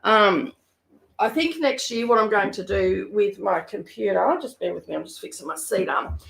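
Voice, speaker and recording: A woman hosting a stitching live stream from her home studio, hoarse and croaky today, chatting in a rambling way with many fillers. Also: mid-range voice, moderate pace, a bit bright, rough recording. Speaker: craft live-streamer host. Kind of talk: casual chat live stream.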